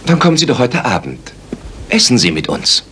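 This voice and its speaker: An actor playing an earnest, worried gentleman narrator in a German radio play. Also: medium pitch, huge pitch swings, normal speed, a little hiss or hum.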